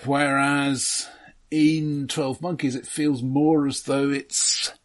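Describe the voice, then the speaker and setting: A man co-hosting a podcast, discussing films casually in a measured, matter-of-fact, analytical way. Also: medium pitch, level tone. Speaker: podcast co-host.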